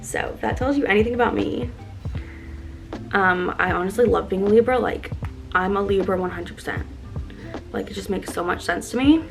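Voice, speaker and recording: A woman's voice: mid-range voice, moderate pace, creaky, pauses often, poor audio. A woman in her early twenties chatting casually while getting ready, upbeat and earnest, with lots of "like" fillers. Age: 20s. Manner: chatty, filler-heavy with constant "like". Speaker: lifestyle vlogger. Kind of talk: casual get-ready-with-me chat. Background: background music.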